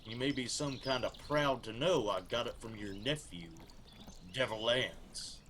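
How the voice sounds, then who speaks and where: A man plays a weary but defiant Civil War soldier from the hills in a radio drama. He speaks slowly and firmly in an Appalachian accent, with faint ambient noise behind him. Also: mid-range voice, fairly bright tone, lightly acted. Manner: firm, defiant, unhurried.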